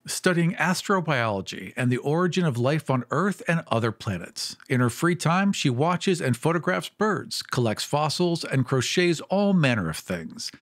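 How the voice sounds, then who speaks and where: A man hosting a podcast with casual comedic banter, joking in a playfully deadpan way. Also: on the high side, no room sound, clean studio sound. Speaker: podcast host and producer.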